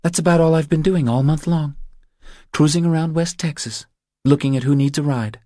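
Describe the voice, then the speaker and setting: A man voicing a nervous, apologetic woman driver who pleads hesitantly for help in a thriller audiobook, with a breathy voice. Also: on the high side, normal speed, warm tone, no echo, clean studio sound, pitched above the performer's own voice, close to an everyday voice. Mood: earnest, evasive.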